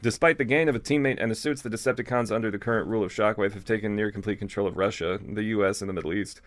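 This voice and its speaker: A man's voice: on the low side, rapid-fire. A man reading fan fiction aloud as a co-host, with casual, amused, irreverent asides.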